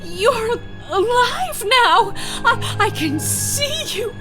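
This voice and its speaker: An actress playing a wealthy, guilt-ridden, frightened widow in the style of a 1950s radio mystery, stammering and melodramatic. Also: female voice, very high-pitched, lively intonation, drawn-out words, music in the background, heavily acted. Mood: tearful, pleading. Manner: melodramatic, stammering, breathless pleading.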